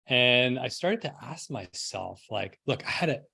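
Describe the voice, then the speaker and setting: A man's voice: medium pitch, expressive, a little slow, creaky, sharp tone, husky. A middle-aged man from New York City telling his own story as a podcast guest in a casual, conversational way. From New York City, United States. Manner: casual, frequent "like" and rhetorical "right?".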